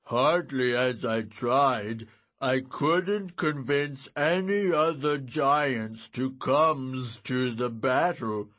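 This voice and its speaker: A man voicing a gentle, simple-minded giant who is glum and apologetic, speaking in laboured, broken grammar in an audiobook story. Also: mid-range voice. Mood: glum, earnest. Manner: drawn-out, laboured delivery with quaint broken grammar.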